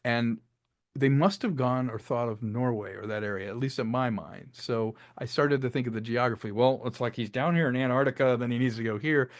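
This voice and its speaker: A man with a mid-pitched voice speaks in a dry, close sound. He is an interviewed creative who explains his craft conversationally, in an expansive and reflective storyteller's manner. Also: fairly quick, dry recording. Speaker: filmmaker and audio drama writer being interviewed.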